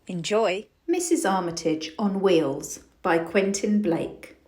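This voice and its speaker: A woman reading a children's story aloud in an expressive storytelling style. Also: mid-range voice, slow, slightly rough. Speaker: storybook reader.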